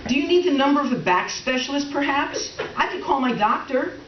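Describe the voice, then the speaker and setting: Casting: woman as resentful middle-aged wife, stage comedy; dry, over-polite sarcasm, animated intonation, slightly dull recording. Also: high voice, brisk. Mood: icily polite. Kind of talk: comic readers theater acting and narration. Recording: roomy, distant, dull, faintly noisy stage recording.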